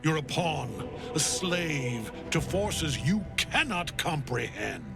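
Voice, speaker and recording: An actor playing a grief-worn, imperious villain general in a video game, speaking in a grandiose, commanding and theatrical way. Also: male voice, on the high side, expressive, takes it slowly, creaky, over loud music, heavily acted. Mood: imperious, threatening.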